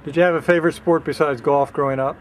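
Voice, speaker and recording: A man interviewing a student, asking measured, polite questions outdoors. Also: a little high, steady tone.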